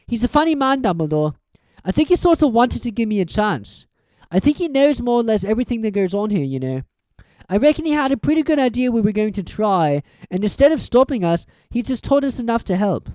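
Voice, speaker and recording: A thoughtful, brave young schoolboy, as a reader puts him on, a little higher than the reader's own voice. He speaks earnestly and directly. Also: very animated, brisk, dark tone, no echo, close to an everyday voice, low-quality recording.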